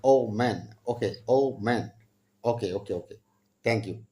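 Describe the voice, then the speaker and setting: A young man from Bangladesh speaking halting learner's English on a video call, eager and repeating words as he practices. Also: lowish voice, warm tone. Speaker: channel host practicing English with strangers. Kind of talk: casual video chat with a stranger.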